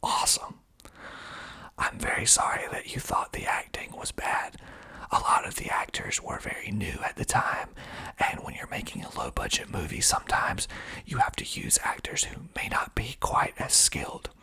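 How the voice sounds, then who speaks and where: A man reading aloud in a whispered ASMR style, deliberate and breathy, with wry, deadpan asides. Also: low-pitched. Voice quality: breathy, mostly unvoiced whisper. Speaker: filmmaker and actor hosting an ASMR video.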